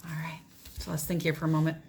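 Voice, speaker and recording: A woman's voice: deep voice, completely flat delivery, fast talker, a little mellow, slight background noise. A woman teacher reading a children's storybook aloud in a dramatic manner, often dropping toward a whisper.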